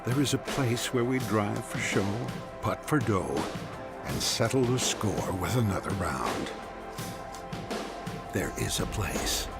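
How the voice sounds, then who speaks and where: A man's voice: on the low side, lively intonation, slow, gravelly. A man narrating a scripted tourism advertisement. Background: loud music.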